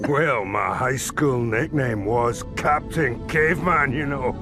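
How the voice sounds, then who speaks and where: A man playing a gruff, sardonic space marine in a science fiction drama, blunt in manner. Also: medium pitch, slow pace, over music, natural voice.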